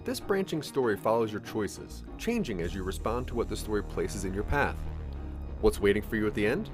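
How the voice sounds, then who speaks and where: Low-voiced man narrating with lively pitch. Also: a little fast, over music. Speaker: narrator of an interactive audio drama. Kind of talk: scripted second-person story narration.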